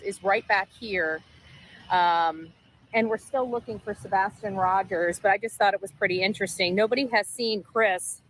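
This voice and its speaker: A woman's voice: medium pitch, normal speed, a bit bright, a little hiss or hum, rough recording. A woman giving casual on-location updates to viewers as an amateur investigator.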